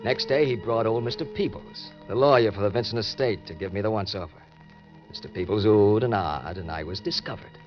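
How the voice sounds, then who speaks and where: An actor with an American accent playing a wry, laconic ex-convict war veteran in an old-time radio crime drama. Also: male voice, on the low side, a little fast, natural voice. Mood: wry narration. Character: hard-boiled ex-convict war veteran posing as missing heir. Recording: dull vintage radio recording, faint music.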